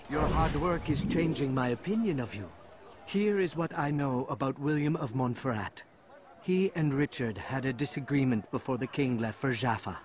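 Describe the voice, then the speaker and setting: An actor playing a smug, scornful assassin informant in a video game, with a taunting, condescending manner. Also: male voice, medium pitch, slight background noise, natural voice. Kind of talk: video game cutscene acting.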